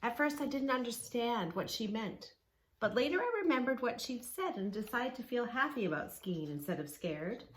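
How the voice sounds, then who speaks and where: A woman's voice: a little high, sing-song, normal speed, pitched above the performer's own voice, rough recording. A woman voicing a kind, reassuring young boy, an older brother in a children's storybook, speaking in an earnest, encouraging way.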